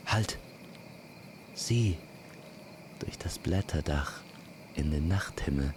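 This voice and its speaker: A man telling a scripted fantasy story as an immersive campfire narrator, speaking gently and poetically to the listener. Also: a bass voice, background noise. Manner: slow, gentle, poetic delivery. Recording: constant night insect and nature ambience. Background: crickets and forest night sounds.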